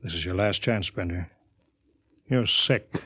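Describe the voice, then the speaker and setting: An actor playing a steady, reasoning rocket crew captain in a 1950s radio drama, terse and measured. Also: male voice, sits low, slightly rough, full character voice. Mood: grave, regretful. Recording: old 1950 radio recording.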